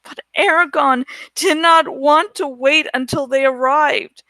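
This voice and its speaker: High, bright woman reading a story slowly. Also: dry recording, low-quality recording. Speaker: audiobook-style reader. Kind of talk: scripted storybook narration.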